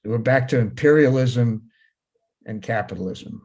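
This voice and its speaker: A man's voice: mid-range voice, measured pace, a little mellow. Plain-spoken older man, Hebrew-native. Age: 70s. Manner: repeats himself, plain-spoken, wry. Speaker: speaker at a talk. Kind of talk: casual talk.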